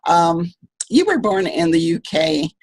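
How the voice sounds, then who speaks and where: Slightly husky woman interviewer. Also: lowish voice, big pitch swings, measured pace, a little mellow. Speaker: interview host. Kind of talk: interviewer questions.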